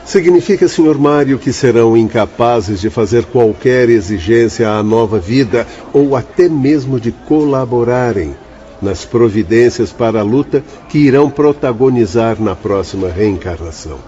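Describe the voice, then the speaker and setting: An actor in a Brazilian radio drama playing an earnest, morally firm spirit doctor who explains things in measured, formal speech with a Brazilian accent. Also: male voice, medium pitch, expressive, warm tone, slight background noise, lightly acted. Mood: explanatory. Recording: dull lo-fi audio, faint music.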